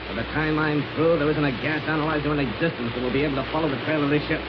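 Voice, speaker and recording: A man's voice: a little high, steady tone, rapid-fire, lightly acted. An American man playing a scheming, commanding arch-criminal space pilot in a 1930s radio serial. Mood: brusque. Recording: muffled, noisy 1930s radio recording.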